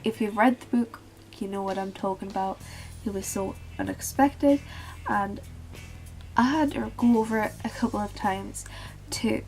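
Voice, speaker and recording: A teenage girl talking casually about books in a vlog, with a calm, flat-toned and slightly awkward way of speaking. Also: mid-range voice, rough recording. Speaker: book vlogger. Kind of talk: casual book tag vlog.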